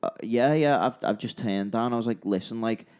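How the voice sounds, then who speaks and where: A man's voice: medium pitch, a little fast, darkish tone, clear, resonant tone. A young man from Liverpool with a Scouse accent, co-hosting a podcast and telling a long rambling story. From Liverpool, England. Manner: rambling, restarts and repeats himself. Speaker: podcast co-host, musician. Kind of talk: casual storytelling chat.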